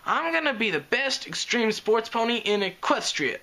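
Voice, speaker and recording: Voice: mid-range voice, sing-song, moderate pace, pushed and bright, pitched above the performer's own voice, poor audio. A reader voicing a cocky young pegasus filly who brags in a brash manner. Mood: boastful. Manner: boastful, brash.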